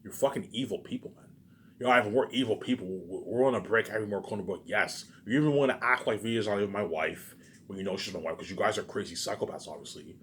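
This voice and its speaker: Fast-talking man on an agitated rant, low voice. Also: fairly bright tone, slight background noise. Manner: repetitive, agitated, profane, stumbling over and repeating words. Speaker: vlogger. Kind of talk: unscripted rambling rant to camera.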